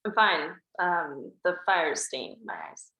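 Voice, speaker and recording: A woman's voice: on the low side, unhurried, sharp tone, highs cut off. A woman reading the part of a guarded, wry teenage girl at a script table read, with a dry delivery. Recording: echoey room.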